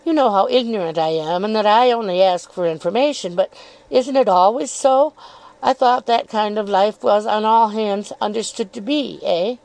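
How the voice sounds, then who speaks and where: A woman's voice: medium pitch, normal speed, gravelly, darkish tone, close to an everyday voice, low-quality recording. A woman reading an audiobook, voicing a sly young woman who hints and needles with arch, probing questions. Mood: insinuating.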